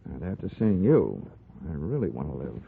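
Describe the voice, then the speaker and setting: An actor playing a breezy, flirtatious insurance investigator with an American accent and a glib, wry manner in 1940s radio drama. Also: male voice, a bass voice, very animated, moderate pace, creaky, slight background noise, exaggerated voice. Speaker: lead character and narrator. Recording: tinny 1940s radio recording.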